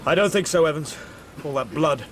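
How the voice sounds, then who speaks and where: An actor in a radio drama playing an anxious, conscience-stricken convict, uneasy and urgent. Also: male voice, fairly high pitch, fairly quick, a crowd in the background, natural voice. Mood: shaken. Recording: noisy water and boat effects.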